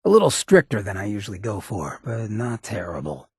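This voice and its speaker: A swaggering male ghoul companion from a video game. His American voice is shredded and gravelly, sitting fairly low, in a close, dry recording. He talks in a folksy, streetwise drawl, sardonic yet loyal underneath. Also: huge pitch swings, a little fast, no room sound, heavily acted. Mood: bitter, reflective. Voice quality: very raspy, gravelly.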